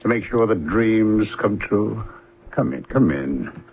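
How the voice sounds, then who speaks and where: An actor playing an ardent, needy suitor in a 1970s radio drama, husky and fervent. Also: male voice, on the low side, lively intonation, slow. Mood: eager, yearning. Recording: thin, phone-like old radio recording.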